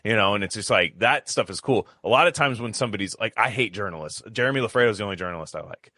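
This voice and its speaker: Male podcast co-host, forceful bright tone, very dry close sound, blunt casual rants with swearing. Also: on the low side, animated, racing, no echo. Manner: blunt, profane, slangy. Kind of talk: casual conversational rant.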